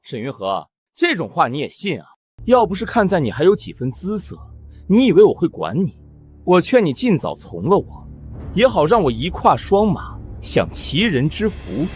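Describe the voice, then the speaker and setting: An actor playing an arrogant, lecherous rich young master who bullies and mocks in a sneering manner. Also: male voice, fairly high pitch, huge pitch swings, moderate pace, soft background music. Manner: sneering, bullying, mocking laughter. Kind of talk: short drama acting.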